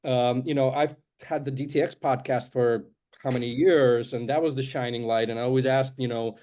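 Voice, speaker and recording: A man's voice: medium pitch, steady tone, moderate pace, a little mellow. A man whose first language is Russian, co-hosting a podcast and asking long, rambling questions. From Ukraine. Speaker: podcast co-host. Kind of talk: podcast interview.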